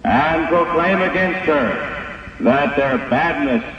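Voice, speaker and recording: A commanding angel calls out in a cavernous echo. The delivery is slow, solemn and declamatory, from an old religious audio drama with a muffled, dull sound. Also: male voice, on the high side. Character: commanding male angel delivering God's summons. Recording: echoing long reverb, muffled old tape, faint noise.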